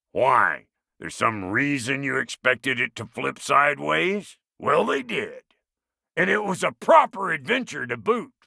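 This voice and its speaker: Gruff dwarf smith, very gravelly rasp, slow folksy drawling delivery, foul-mouthed swagger, video game character, close dry recording. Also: male voice, high-pitched, bright, forceful tone, dry recording, full character voice. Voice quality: gravelly, raspy. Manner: folksy rustic slang, colorful insults, swaggering.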